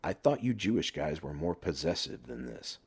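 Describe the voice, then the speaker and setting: A man's voice: rumbling low, very animated, normal speed, a little mellow, husky, voice pushed down, heavily acted. A man voicing a brash middle-aged best friend who lectures and needles bluntly in an audiobook. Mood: urging. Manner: blunt, emphatic, sweary banter.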